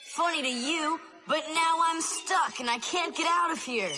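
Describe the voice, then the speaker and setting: A woman voicing an excitable, anxious young boy in an English cartoon dub, expressive and exclamatory. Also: sits high, moderate pace, over music, lightly acted. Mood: distressed, pleading.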